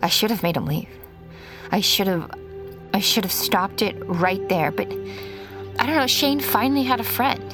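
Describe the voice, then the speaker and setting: A woman's voice: medium pitch, animated, unhurried, long pauses, lightly acted. A woman playing a troubled mother, hesitantly recounting a haunting memory in an audio drama. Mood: regretful, uneasy. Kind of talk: confessional first-person narration in audio fiction. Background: music.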